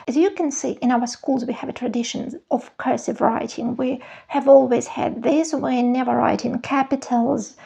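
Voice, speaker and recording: A woman speaks in a high voice with a gravelly edge. She talks unhurriedly in a casual vlog, choosing each word with care. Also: a little mellow. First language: Russian. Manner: slow, careful, searching for words. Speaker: vlogger, former university teacher. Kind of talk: casual show-and-tell vlog.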